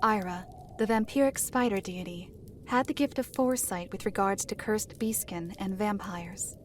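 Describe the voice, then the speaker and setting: Woman as a composed, knowing dark-fantasy storyteller. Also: medium pitch, a little hiss or hum, close to an everyday voice. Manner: measured, formal, authoritative. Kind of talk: scripted storytelling in audio drama.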